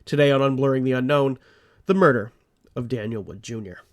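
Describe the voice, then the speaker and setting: A man hosts a true crime podcast in a mid-pitched voice, stuffed up and a little phlegmy. He narrates earnestly and keeps drifting into rambling asides. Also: a little fast, over loud music. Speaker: podcast host.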